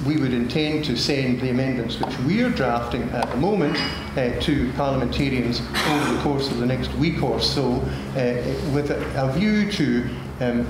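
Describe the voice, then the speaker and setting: Older male panelist, slow, halting delivery with lots of ums, recorded from afar in a reverberant hall. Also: mid-range voice. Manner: halting, many filler sounds, measured. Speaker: panel speaker. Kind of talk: policy panel talk. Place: large formal room with audience. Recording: echoey hall, room noise.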